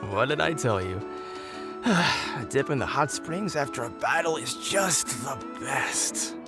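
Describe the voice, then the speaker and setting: A woman playing a relaxed, contented bather in a video game, sighing and languid in manner. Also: extremely low pitch, slow pace, over music, exaggerated voice. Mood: blissful.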